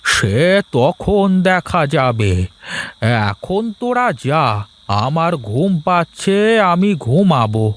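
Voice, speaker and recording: A man plays an old village father-in-law in a cartoon. His voice sits a little high for a man and swings widely in melody. He draws his words out like a family elder. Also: very animated, slow. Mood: calm, sleepy. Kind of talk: cartoon voice acting.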